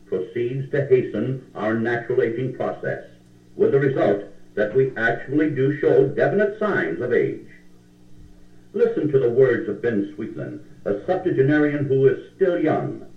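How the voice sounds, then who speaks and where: A man's voice: medium pitch, recorded from a distance. A man narrating a scripted self-help tape in an emphatic, declamatory manner. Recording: tinny, echoey vintage cassette tape.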